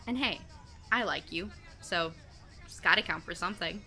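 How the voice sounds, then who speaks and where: A woman's voice: lowish voice, moderate pace, sharp tone, a faint crowd. Sarcastic, loyal teenage girl played by a woman. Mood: fiercely supportive. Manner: teasing, blunt, slangy. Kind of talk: audio drama acting.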